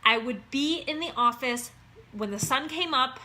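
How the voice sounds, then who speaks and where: A woman's voice: high-pitched, moderate pace, pushed and bright. A woman in her thirties from Minnesota with an Upper Midwest accent, an online wellness coach talking casually to her viewers in a chatty, upbeat way. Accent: Upper Midwest American. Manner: chatty and upbeat, keeps saying "you guys".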